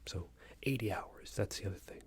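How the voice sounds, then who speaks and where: A man doing an ASMR roleplay as a passive-aggressive company boss, speaking in a soft, breathy whisper with hesitant, patronising phrasing. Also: lowish voice, a little fast. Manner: hesitant, repetitive, patronising workplace talk. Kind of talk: ASMR roleplay, improvised monologue.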